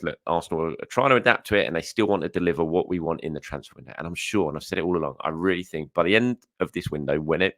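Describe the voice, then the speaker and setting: A hoarse young man hosts a football news show, with a deep voice that sounds croaky. He talks through the news in a loose, chatty way, with plenty of fillers and restarts. The sound is close and dry, with no room behind it. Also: fairly bright tone, no room sound. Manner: chatty, with frequent fillers and self-corrections. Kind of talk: casual solo commentary.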